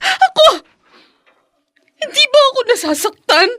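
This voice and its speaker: A woman's voice: extremely high pitch, big pitch swings, unhurried, background noise, full character voice. An actress playing a hurt, fed-up wife and mother in a radio drama, tearful and impassioned. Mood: hurt, accusing.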